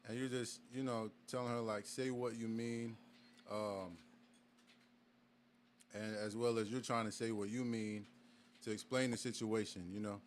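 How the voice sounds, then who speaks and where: A man's voice: fairly low pitch, level tone, unhurried, pauses often, slight background noise. A young man from Virginia, a guest artist in a casual podcast interview, speaking in a laid-back way with many fillers and restarts. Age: young adult.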